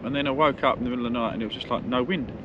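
A man's voice: mid-range voice, rapid-fire, highs cut off. A man, chatting in a laid-back, colloquial way for a travel vlog outdoors. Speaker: travel vlogger. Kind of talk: casual chat. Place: outdoors on a windy clifftop. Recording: wind noise on camera mic, outdoors.

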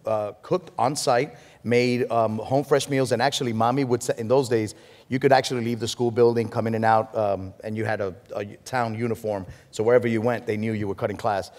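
Rapid-fire panelist from Puerto Rico, forties, telling animated stories in a reverberant hall. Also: male voice, mid-range voice. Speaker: panelist, state legislator. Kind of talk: panel discussion. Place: large hall stage.

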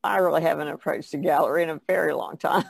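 Casting: female podcast guest, gravelly fry in the voice, dry recording, casual and plainspoken. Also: low voice, fast talker, no room sound. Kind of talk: casual chat.

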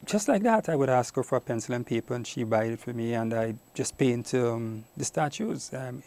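A middle-aged man with a Guyanese Caribbean accent answers as an interview guest. His voice sits at a middle pitch with plenty of gravelly creak, and he speaks reflectively. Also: animated, moderate pace, a little mellow. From Guyana, later Trinidad and Tobago. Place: small indoor TV studio. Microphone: lapel mic.

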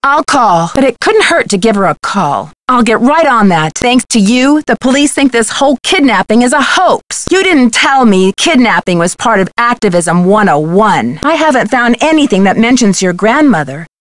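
A young woman voice actor playing a plucky, sharp-witted teenage girl detective in a video game, articulate and inquisitive. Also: a little high, very animated, normal speed, few pauses, no room sound. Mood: determined. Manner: crisp, articulate, spirited, inquisitive.

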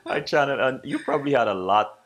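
A man in his forties hosting an interview show, speaking in a measured way from a home studio over a desk microphone. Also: medium pitch, lively intonation, fairly bright tone. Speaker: host. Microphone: desk microphone, video call.

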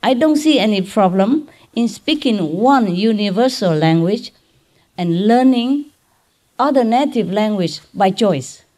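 A middle-aged woman with a Vietnamese accent giving an informal lecture in simple, halting English, checking in with her listeners. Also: mid-range voice, expressive, warm tone. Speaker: spiritual teacher giving a lecture. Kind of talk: informal spoken lecture to a gathering. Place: large hall or tent with seated audience. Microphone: microphone on a boom stand.

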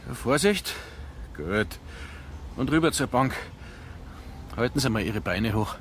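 An actor playing a concerned, brisk male helper who gives instructions in a naturalistic radio drama. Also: medium pitch, lively intonation, natural voice. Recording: faint ambient background noise.

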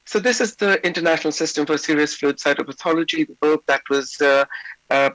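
Middle-aged male lecturer, slightly hoarse, steady filler-laden delivery, dry close sound over a video call. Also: on the high side, no room sound, rough recording. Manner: measured, many fillers. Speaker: invited lecturer. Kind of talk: remote conference lecture. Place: indoor office.

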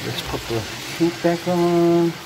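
A man casually narrating his home cooking, relaxed and chatty with frequent ums, filmed on a phone over the stove. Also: a little high, slow pace. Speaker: home cook vlogger. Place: home kitchen. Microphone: phone camera held over the stove. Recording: noisy home kitchen phone recording. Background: sizzling and bubbling pots.

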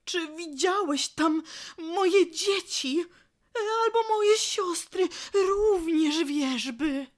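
A woman's voice: very high-pitched, exaggerated voice. A woman playing a grieving, vengeful willow tree in an audio drama, with a tearful, theatrical delivery. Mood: anxious, grieving. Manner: theatrical, tearful, drawn-out lament. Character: weeping willow tree, grieving, vengeful and bitter female spirit.

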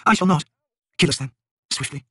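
A man's voice: a little high, brisk, a bit bright, breathy edge, no room sound, studio quality, distant mic, pitched above the performer's own voice. A man voicing a weary, desperate non-human mother in a fantasy audiobook, terse and resigned.

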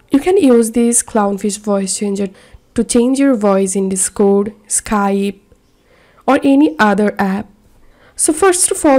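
Slow, mellow woman giving tutorial steps. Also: fairly high pitch. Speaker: software tutorial presenter. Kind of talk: step-by-step tutorial narration.

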